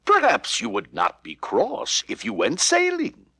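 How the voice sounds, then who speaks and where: Kindly toy shop owner put on by a male narrator, raised pitch, swooping intonation, children's storybook, music behind. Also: wildly swooping pitch, a little slow, creaky, bright, forceful tone, slightly rough, no echo, clean studio sound, exaggerated voice. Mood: gentle, encouraging. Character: kindly toy shop man in polka dot tie.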